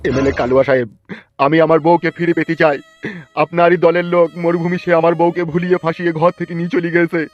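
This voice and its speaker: A man playing a distraught old village husband in a comic cartoon, complaining and pleading in drawn-out wails. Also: fairly high pitch, little pitch movement, natural voice. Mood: indignant pleading. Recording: dull lo-fi sound with music behind.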